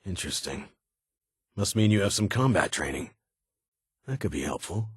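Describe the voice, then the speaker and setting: An actor playing a stern, guarded military security leader in a video game, speaking formally in clipped, commanding phrases. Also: male voice, low-pitched, very animated, moderate pace, husky, no room sound, studio quality, heavily acted. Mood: businesslike, guarded. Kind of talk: video game character dialogue.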